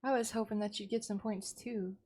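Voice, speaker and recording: A woman's voice: mid-range voice, fairly even intonation, fairly quick, a little mellow, husky. A woman livestreamer chatting casually with her viewers in a bubbly, exclamatory, readily apologetic way. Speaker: live streamer. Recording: music behind the voice. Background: background music.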